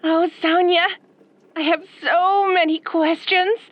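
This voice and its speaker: A woman's voice: very high-pitched, fairly even intonation, dry recording. A woman playing an eager, girlish, obsessive fan with a menacing streak, gushing questions in an audio roleplay. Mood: excited, gushing. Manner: gushing, rapid-fire questions. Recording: muffled phone-in caller line.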